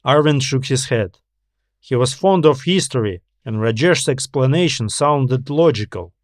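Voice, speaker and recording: A man narrating a science fiction audiobook in a measured, deliberate way. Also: medium pitch, lively intonation, no room sound, studio quality. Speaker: author narrating own story.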